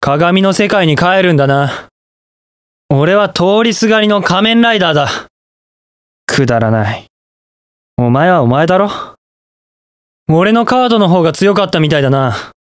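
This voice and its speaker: A man's voice: a little high, no echo. A young man voicing a cocky, aloof masked rider hero in a video game, with a cool, dry, self-assured delivery. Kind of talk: game voice lines.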